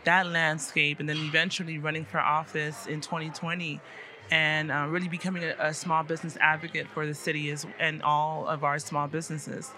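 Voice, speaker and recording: Young female interview guest, strikingly bright tone, earnest conversational delivery, slight background noise. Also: deep voice, level tone, a little fast. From South Florida, United States. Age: young adult. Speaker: interview guest, city commissioner and small business owner. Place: conference venue at a hotel. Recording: faint background noise on location.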